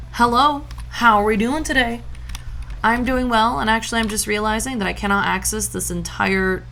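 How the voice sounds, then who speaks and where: A woman's voice: medium pitch, a bit bright, a little hiss or hum. A woman from Brazil streaming a farming game, chatting casually and playfully, humming nonsense sound effects and tossing out jokey mock-old-fashioned lines. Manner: jokey mock-archaic asides.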